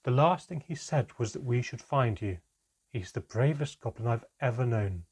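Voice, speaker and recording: A man voicing a loyal goblin mother in an audiobook, speaking quietly and with determination. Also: mid-range voice, animated, normal speed, slightly rough. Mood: quiet defiance. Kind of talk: audiobook character voice.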